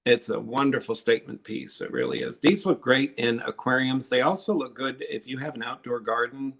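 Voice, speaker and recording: A man's voice: mid-range voice, fairly even intonation, fairly quick, a bit bright. A man on a live online sale, chatting casually as he shows and explains his glass pieces. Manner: chatty, explains techniques while showing pieces.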